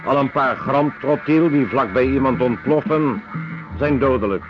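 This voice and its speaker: An actor playing a sober reader who delivers grim facts formally and deliberately in a radio production. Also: male voice, medium pitch, lightly acted. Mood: sober, factual. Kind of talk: formal scripted documentary-like reading. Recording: dull radio recording with music underneath.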